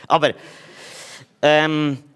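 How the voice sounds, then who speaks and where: A man's voice: a little high, level tone, very slow, a bit bright, clear, resonant tone. A man giving an informal sermon in a conversational way, hesitating often with fillers. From German-speaking region. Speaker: preacher.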